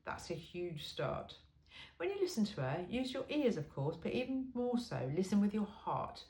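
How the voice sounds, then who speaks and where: A woman's voice: mid-range voice, sing-song, moderate pace, a little mellow. A woman reading a self-help book aloud in an informal, blunt and emphatic way.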